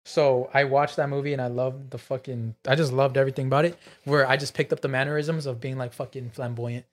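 A man hosting a podcast in casual, sweary, excitable storytelling style with bits of Spanish slang. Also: medium pitch, fairly quick. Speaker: podcast host. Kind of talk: casual, profane podcast banter.

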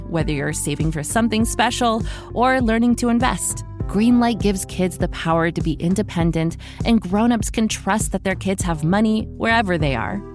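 A woman reading a scripted podcast advertisement as an announcer. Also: medium pitch. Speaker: sponsor message announcer.

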